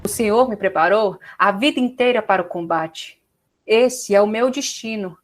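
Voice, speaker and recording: A brave young warrior and dutiful son played by a woman in an audio drama. The voice sits at a medium pitch, earnest and resolute. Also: moderate pace, lightly acted.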